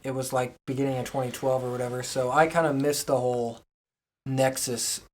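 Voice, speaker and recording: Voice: mid-range voice, flat delivery, brisk, darkish tone, a little hiss or hum. A podcast co-host chatting casually and enthusiastically as a wrestling fan.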